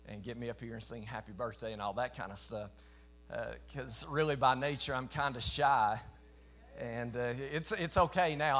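A man in his forties from East Tennessee with a Southern accent, preaching a sermon to a congregation in a folksy, emphatic way that swells into louder bursts. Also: mid-range voice, a little hiss or hum. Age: 40s. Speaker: traveling preacher. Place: church meeting in front of a congregation.

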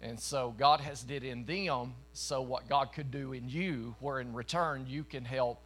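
A man in his forties from East Tennessee with a Southern accent, preaching a sermon to a congregation in a folksy, emphatic way that swells into louder bursts. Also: mid-range voice, fairly even intonation, measured pace. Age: 40s. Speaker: traveling preacher. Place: church meeting in front of a congregation.

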